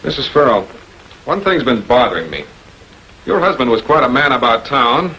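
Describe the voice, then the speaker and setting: An actor playing a calm, persistent public prosecutor in a 1940s filmed crime drama, questioning people in a measured, authoritative way. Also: male voice, mid-range voice. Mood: firm, composed. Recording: noisy old 1940s film soundtrack.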